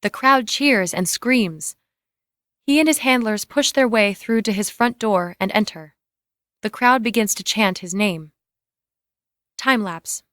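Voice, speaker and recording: A woman's voice: fairly high pitch, a bit bright, no echo. Female script narrator, dry sound. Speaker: narrator reading screen directions. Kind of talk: scripted narration of stage and camera directions.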